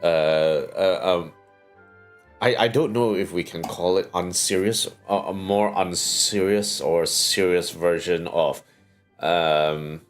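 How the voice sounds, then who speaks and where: A man's voice: low voice, slow, soft background music. A man giving casual livestream commentary on a game, hesitant and musing aloud.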